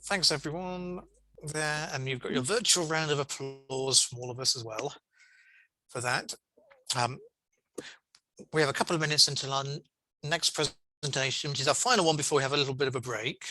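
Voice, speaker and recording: A man's voice: medium pitch, normal speed, pauses often, pushed and bright, a little hiss or hum, poor audio. A man hosting an online conference session, giving encouraging, rambling feedback in an informal way. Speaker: conference host and session chair. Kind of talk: informal chairing and feedback over video call. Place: online video call.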